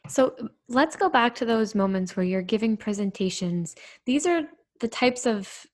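A woman's voice: a little high, lively intonation, normal speed. A woman hosting a podcast, asking long, thoughtful, probing questions. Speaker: podcast host. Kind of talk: interview questions.

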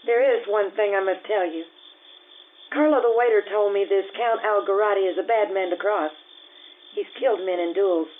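A woman's voice: medium pitch, moderate pace, narrow, tinny audio, a little hiss or hum, lightly acted. A woman playing a blunt, teasing, good-humored widowed Italian cook in an old-time radio drama. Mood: frank, friendly.

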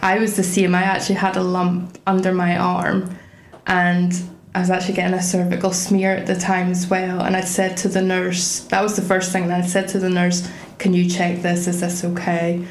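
A woman's voice: lowish voice, completely flat delivery, moderate pace. A young woman, a podcast guest telling her story in a wry, chatty way. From Scotland. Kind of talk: casual chat. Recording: echoey room with faint background noise.

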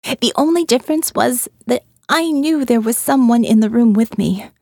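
A woman's voice: on the high side, normal speed, darkish tone, no room sound, studio quality. A woman reading a first-person audiobook in a wry, conversational way. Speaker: audiobook narrator.

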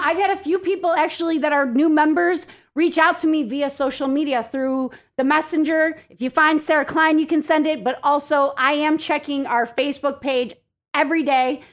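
High-voiced, upbeat American woman. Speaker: chamber of commerce president, interview guest. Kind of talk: casual promotional interview.

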